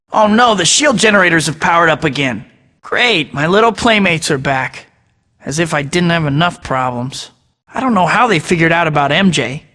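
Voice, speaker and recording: An actor voicing a young masked superhero in a video game, talking himself through each task with wry jokes. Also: male voice, on the high side, pushed and bright, in a large hall. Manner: wry, joking asides to himself. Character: young male masked web-slinging superhero, wisecracking. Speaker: video game hero voice clips. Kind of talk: game voice-over lines.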